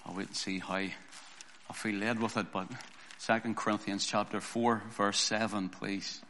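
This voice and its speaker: A hoarse man preaching a sermon, reading scripture slowly and building to emphatic preaching. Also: on the low side, flat delivery, sharp tone, slight background noise. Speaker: pastor.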